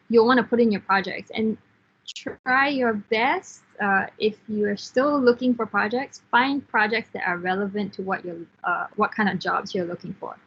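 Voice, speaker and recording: A woman in her thirties from Singapore, a software team lead giving frank, encouraging career advice in an online class, with fillers and restarts. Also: mid-range voice, slightly rough, muffled recording. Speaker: guest speaker, software engineer team lead.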